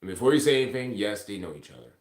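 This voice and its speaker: Echoey, low-voiced man rambling. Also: animated, rapid-fire. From Ontario, Canada. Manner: rambling, heavily profane. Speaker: live streamer. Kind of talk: casual livestream chat, replying to comments. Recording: echoey room sound.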